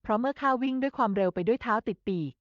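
A woman narrates a scripted story in a fairly high voice. The recording is dry and close, with a slightly muffled top end. She delivers the text as a storyteller would. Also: racing, no echo.

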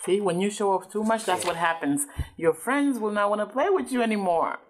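A woman's voice: mid-range voice, a little fast, a little hiss or hum. A woman doing a teaching read-aloud, patiently explaining and often checking understanding with questions. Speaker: adult reading a book with a child.

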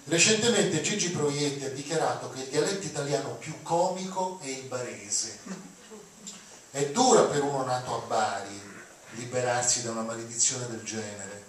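A man's voice: mid-range voice, moderate pace. Older man in a very echoey hall. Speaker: lecturer introducing readings. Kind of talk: informal spoken introduction to a lecture. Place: auditorium, recorded from the audience. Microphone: handheld mic, picked up by far camera. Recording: echoey hall, distant mic, background noise.

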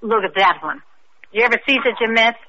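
Tipsy young wife, piercingly bright. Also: female voice, a little high, fast. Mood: tipsy, bitter. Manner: slangy, sardonic, rambling. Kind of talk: old-time radio acting. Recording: muffled old radio recording.

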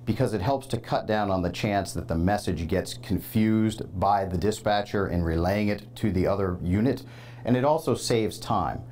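Middle-aged male fire official, low voice, explanatory interview delivery, faint noise behind. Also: normal speed, darkish tone. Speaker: fire department chief officer. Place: small indoor room hung with banners.

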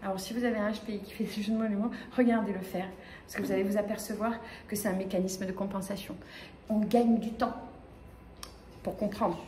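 A woman in her fifties from the Paris region, giving a lively conference talk with many fillers and restarts, gesturing as she speaks. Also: mid-range voice, a little fast, a little hiss or hum. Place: small lecture room with projector screen. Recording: echoey room.

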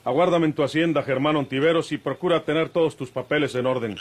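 An actor playing a fair-minded young cowboy outlaw in an old radio drama, measured and emphatic, with a rural Mexican accent. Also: male voice, fairly high pitch, lightly acted. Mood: resolute. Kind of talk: Mexican golden-age radio drama acting.

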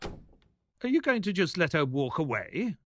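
An actor playing an earnest detective's sidekick who also narrates the story of an audio play expressively. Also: male voice, a little high, very animated, unhurried, a bit bright, a little hiss or hum. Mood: puzzled.

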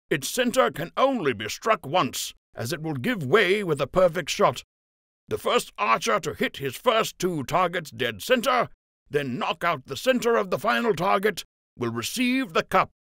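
A man's voice: high voice, creaky, a bit bright, no room sound, clean studio sound, pitched above the performer's own voice, exaggerated voice. A man voicing a stern, rousing rabbit army captain in an audiobook, declaiming commands to his archers. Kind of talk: audiobook character voice.

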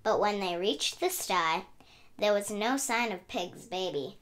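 Bright, very animated woman reading to children. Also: mid-range voice, measured pace. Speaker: story reader and channel host. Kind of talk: expressive children's read-aloud narration.

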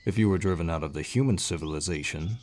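An actor playing a large, gruff but kindly barbarian warrior in an audio roleplay, measured and reassuring, with a deep voice. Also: male voice, a little mellow, close to an everyday voice. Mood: curious. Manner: measured, reassuring, with pauses and sighs. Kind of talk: scripted audio roleplay, addressing the listener. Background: birdsong forest sound effects.

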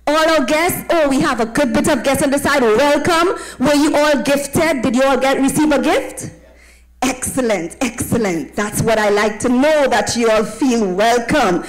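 High-voiced Trinidadian woman preacher. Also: moderate pace, faint music behind, low-quality recording. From Trinidad and Tobago. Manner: call-and-response, asking listeners to affirm. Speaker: evangelist, guest preacher. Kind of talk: preaching, welcoming a congregation. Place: tented church platform with draped backdrop. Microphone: handheld microphone.

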